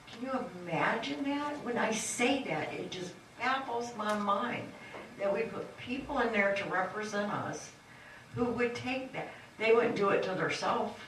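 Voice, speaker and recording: A woman's voice: a little high, lively intonation, measured pace, a bit bright. A woman candidate delivers a fiery, folksy campaign speech with emphatic punches. She is heard from a distance in a very echoey hall. A faint hiss runs underneath. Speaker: candidate for county supervisor. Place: large echoey meeting hall.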